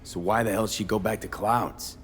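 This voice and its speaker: An actor voicing a tough, determined street mercenary in a video game, blunt and terse. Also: male voice, on the low side, steady tone, moderate pace, soft background music, natural voice. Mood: concerned. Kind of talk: video game character acting.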